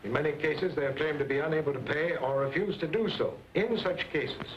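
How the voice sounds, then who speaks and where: Through a dull, faintly noisy vintage soundtrack comes an actor as a principled American army commander. He has a slightly high voice and gives orders in a measured, formal and stern manner, as in a 1940s western. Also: natural voice. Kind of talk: 1940s western film acting.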